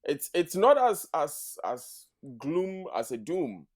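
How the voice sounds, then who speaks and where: A man's voice: fairly high pitch, very animated. Earnest man in his thirties. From Ghana. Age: 30s. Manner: earnest, measured, speaks in full formal sentences. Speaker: guest, math teacher. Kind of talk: podcast interview.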